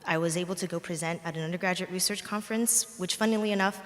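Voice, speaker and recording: A woman's voice: lowish voice, fast talker. A young adult woman, a native Mandarin speaker, giving a short, casual acceptance speech with fillers. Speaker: undergraduate award recipient. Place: library ceremony hall. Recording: long hall reverb.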